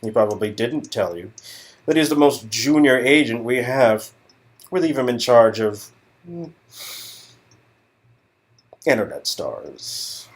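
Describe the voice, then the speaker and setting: A man's voice: mid-range voice, big pitch swings, slow, pauses often. A man playing an arrogant, impatient talent agency boss in a comic dialogue reading, brusque and sneering. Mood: scornful, impatient.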